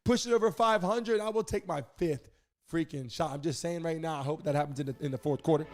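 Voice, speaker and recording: A man hosting a sports livestream, excitably giving repetitive chat shout-outs and swearing casually. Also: fairly high pitch, quick pace, faint music behind. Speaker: livestream sports show host. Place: streaming studio in Miami.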